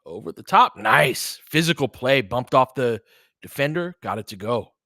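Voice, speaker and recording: A man's voice: mid-range voice, expressive, moderate pace, sharp tone, no room sound, studio quality. A man doing casual basketball play-by-play as a stream host, in clipped phrases with chatty asides to listeners.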